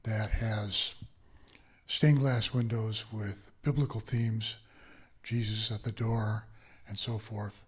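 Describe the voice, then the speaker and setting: A man who belongs to the temple introduces the guest speaker haltingly, with many fillers. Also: lowish voice, steady tone, husky. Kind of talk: informal introduction.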